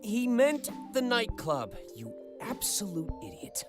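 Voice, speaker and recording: A man's voice: sits high, sing-song, slow, music in the background, voice pushed up, heavily acted. A man voicing a self-conscious, easily exasperated friend in a visual novel.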